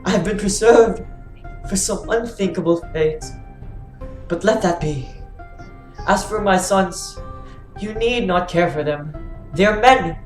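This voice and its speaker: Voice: medium pitch, unhurried, pauses often, lightly acted. An actor playing a blinded, grieving fallen king who pleads solemnly in a classical tragedy reading. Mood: sorrowful pleading. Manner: mournful, pleading, solemn declamation. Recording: roomy echo, faint music behind.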